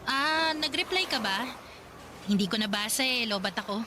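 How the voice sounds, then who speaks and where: A woman's voice: on the high side, animated, normal speed, background noise, close to an everyday voice. An actress playing a broke young female college student who complains about work and money, in a radio drama with music underneath. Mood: chatty. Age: young adult. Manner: chatty, grumbling about her hardships. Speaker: working student character.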